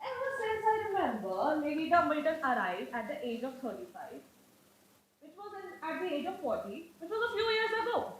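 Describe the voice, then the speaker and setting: High-pitched, imperious Victorian aristocratic aunt. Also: female voice, very animated, normal speed. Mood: haughty, dismissive. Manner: pompous, declamatory, grandly condescending. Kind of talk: theatrical stage comedy acting. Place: indoor stage in a roomy hall. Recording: echoey amateur stage play recording.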